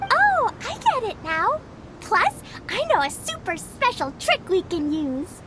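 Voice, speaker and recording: An excitable little girl in a video game, with a very high, childlike voice. She is earnest and bubbly, speaking at an unhurried pace with lively pitch. Music plays clearly behind her. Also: full character voice. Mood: eager, excited. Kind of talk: video game cutscene acting. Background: background music.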